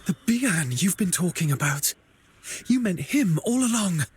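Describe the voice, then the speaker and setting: An actor playing an earnest, defiant young video game hero with a blunt, direct way of speaking. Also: male voice, sits high, animated, a little slow, breathy edge, heavily acted. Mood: defiant, upset. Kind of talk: scripted video game voice acting.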